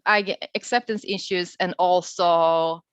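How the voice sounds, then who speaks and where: A woman researcher with a fairly low voice explains her points in an online Q&A. She speaks haltingly, repeating words as she searches for the next one. The video call sound is dry and somewhat dull. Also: fairly even intonation, clean tone, no room sound. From Sweden. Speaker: guest researcher and speaker answering questions. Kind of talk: webinar Q&A, unscripted explanation.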